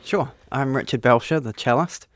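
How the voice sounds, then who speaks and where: A man's voice: mid-range voice, moderate pace, no room sound, studio quality. Easygoing New man, cellist guest. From New Zealand. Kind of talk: relaxed interview answers. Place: radio studio.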